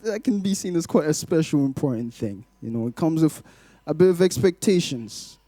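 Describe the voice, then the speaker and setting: A man in his twenties addresses a congregation as a testimony speaker and poet. His voice sits a little high yet is relaxed and warm in tone, with very little room sound. He phrases things in a measured, rhythmic way. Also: dry recording. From Glasgow, Scotland, born in Malawi. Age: 20s. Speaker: church guest speaker giving testimony, poet. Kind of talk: rhythmic spoken-word poetry in places.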